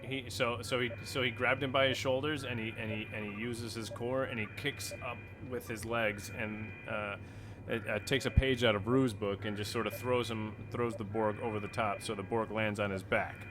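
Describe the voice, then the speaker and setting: A man's voice: lowish voice, steady tone, normal speed, noisy background. A man playing a tabletop game, describing his character's moves in casual, joking talk. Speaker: player.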